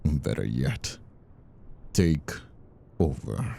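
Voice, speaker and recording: Man acting a very deep, gravelly mobster villain. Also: very animated, drawn-out words, dark tone, slight background noise, full character voice. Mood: taunting, scornful. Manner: mocking, theatrical, drawling. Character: taunting, ambitious young male mobster villain. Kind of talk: roleplay audio drama acting.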